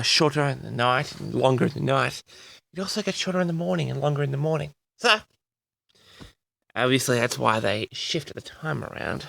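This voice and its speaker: Theatrical man with a heavily creaky voice. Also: mid-range voice, fairly bright tone, dry recording. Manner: theatrical, addresses listeners as audience, drawn-out words. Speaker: host of a personal audio log. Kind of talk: rambling solo monologue to an audience.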